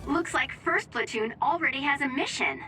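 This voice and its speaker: A woman's voice: sits high, normal speed, sharp tone, faint music behind. An actress playing a friendly, perky young woman who gives mission briefings as an operator in a video game. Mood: upbeat. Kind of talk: English video game voice acting.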